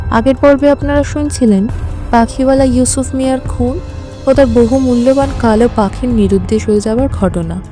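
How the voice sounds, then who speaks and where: Music plays under a woman's high, soft-toned voice. She hosts an audio story series, reading a scripted introduction and recap in a storyteller's style. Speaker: host and story presenter.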